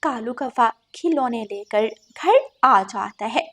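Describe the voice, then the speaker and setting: A woman's voice: a little high, lively intonation, slow pace, fairly bright tone. A woman narrating a scripted children's moral story.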